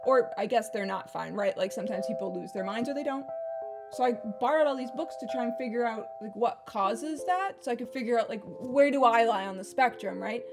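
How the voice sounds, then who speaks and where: A woman's voice: fairly high pitch, a little fast, over music, lightly acted. A woman playing an anxious, self-doubting show maker in a hesitant, rambling comic confession to viewers, often repeating words. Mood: worried. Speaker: performer in a surreal variety show.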